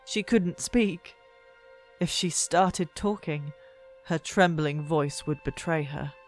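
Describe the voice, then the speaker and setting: Audiobook narrator, mid-pitched, very unhurried, suspenseful and expressive, faint music underneath. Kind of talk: dramatic scripted fiction narration. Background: soft background music.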